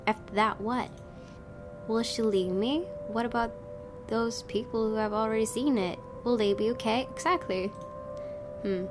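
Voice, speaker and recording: A woman doing a casual let's play, reading a visual novel aloud and commenting in an expressive way. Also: mid-range voice. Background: game music with guitar.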